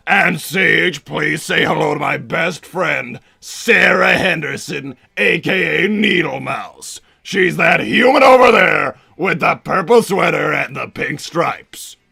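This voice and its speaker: A man voicing a bombastic middle-aged mad-scientist villain and doting father, with a raspy, gravelly voice and a theatrical, blustering manner, improvising on a livestream. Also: a little high, pushed and bright, full character voice. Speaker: livestream host in character. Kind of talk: improvised in-character livestream Q&A, reading out viewer messages.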